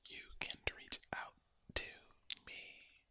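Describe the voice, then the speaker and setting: A man giving a soothing ASMR pep talk in a low, gravelly whisper, close to the microphone, with deliberate, repetitive phrasing and a villain-like edge. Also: bright, forceful tone. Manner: slow deliberate phrasing with repetition and rhetorical questions; villain-like, ominous sound. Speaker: ASMR video creator.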